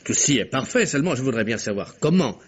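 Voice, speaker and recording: An actor playing a bluff, puzzled captain in a vintage French radio mystery, questioning bluntly with stammering hesitations. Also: male voice, medium pitch, big pitch swings, fast, breathy edge. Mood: baffled. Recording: dull, muffled vintage radio recording.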